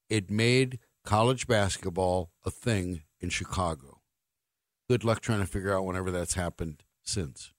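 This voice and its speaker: A man's voice: lowish voice, no room sound, studio quality. An American man hosting a sports talk radio show, with a wry, opinionated and emphatic delivery.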